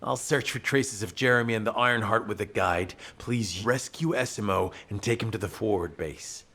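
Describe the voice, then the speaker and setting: An actor playing an earnest, analytical military field operative in a video game, explaining things in a husky, measured way. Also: male voice, on the low side, pushed and bright. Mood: resolute. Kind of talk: video game cutscene acting.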